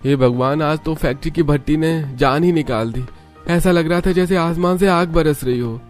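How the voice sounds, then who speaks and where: A man's voice: a little high, normal speed, lightly acted. Man playing a sighing, weary cartoon workingman. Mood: exhausted. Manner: dramatic, sighing. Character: weary, heat-beaten factory worker husband in a cartoon. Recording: music behind the voice.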